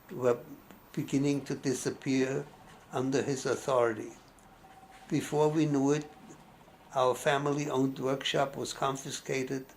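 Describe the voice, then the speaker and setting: A man's voice: medium pitch, a little mellow, slight background noise, poor audio. A man, reading his life story aloud and often stopping to restart a word. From Austria. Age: 90s. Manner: halting, restarts words mid-phrase. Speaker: memoir narrator.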